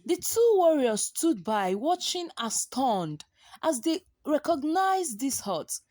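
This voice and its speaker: A woman's voice: high voice, very animated, takes it slowly, darkish tone, dry recording, studio quality. A woman telling a folktale dramatically and expressively, drawing out and repeating words for effect. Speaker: storyteller.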